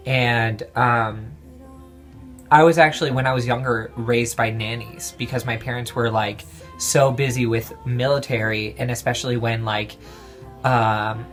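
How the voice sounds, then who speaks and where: A man in his twenties being interviewed, talking casually and hesitantly with many pauses and restarts. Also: medium pitch, fairly bright tone. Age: 20s.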